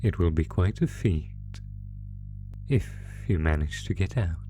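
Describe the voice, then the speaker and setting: Sadistic monster played by a man, low-pitched and unhurried, taunting a captive with mock courtesy, horror audio drama over music. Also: lively intonation. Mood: menacing, gloating. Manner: slow, taunting, mock-polite, savoring pauses. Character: sadistic male monster gleefully toying with a captive. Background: musical score.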